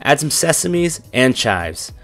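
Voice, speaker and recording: A man's voice: medium pitch, slow, soft background music. Joking young man hosting a cooking vlog. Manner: deadpan, irreverent jokes.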